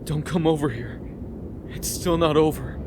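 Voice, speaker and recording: A man's voice: fairly high pitch, moderate pace, lightly acted. A man playing a desperate, distrustful young fighter in an anime fan dub, agitated and defiant. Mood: tense, desperate. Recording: background noise behind voice.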